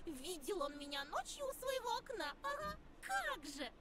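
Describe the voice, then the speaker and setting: A young fox-masked forest girl for a visual novel game. The voice sits extremely high and moves in lively swings, mocking and playful with a threatening edge. A crowd-like murmur sits behind it. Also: moderate pace. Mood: teasing, mocking. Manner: playful, mocking, slipping into menacing whispers.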